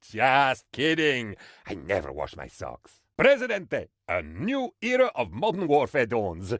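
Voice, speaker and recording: An eager, bumbling dictator's aide from a comic video game, speaking. His pitch swoops about excitably as he reports to his leader with shameless, theatrical officialdom. The recording is dry and close. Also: measured pace, no room sound, heavily acted. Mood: joking, then pompous, enthusiastic.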